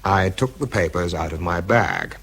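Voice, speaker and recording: A scientist's aide telling his own story in a radio drama. The voice is deep and slightly rough, and the delivery is understated and wry. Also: big pitch swings, normal speed, a bit bright, heavily acted. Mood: dry recounting. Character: dry, wry young scientific aide narrating. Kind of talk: first-person radio drama narration.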